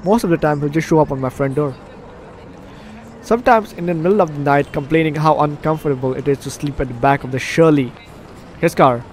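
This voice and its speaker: Higher-voiced man doing game commentary. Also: brisk, slight background noise. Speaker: video game streamer. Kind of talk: let's play commentary, reading game text aloud. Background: game music.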